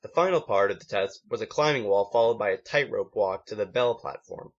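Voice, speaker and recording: A man narrating a story as an amateur reader, halting now and then and stumbling over words. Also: on the low side. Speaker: amateur fan fiction reader. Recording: muffled lo-fi home recording.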